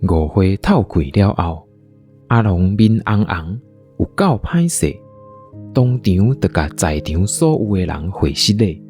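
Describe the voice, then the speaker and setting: A man's voice: low-pitched, big pitch swings, a little slow, darkish tone, faint music behind. A man with a Taiwanese accent narrating a scripted radio drama as a storyteller.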